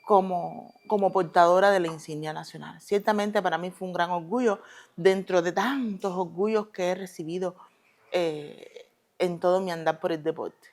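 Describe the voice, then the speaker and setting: A woman with a Cuban accent speaks in a relaxed interview, measured and full of pauses and fillers. When a topic stirs her, she speeds up and presses her words with conviction. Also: on the low side. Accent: Cuban Spanish. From Cuba. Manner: deliberate, hesitant with many fillers, then emphatic and quick when passionate. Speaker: guest, retired Olympic judoka. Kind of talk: relaxed conversational interview answers.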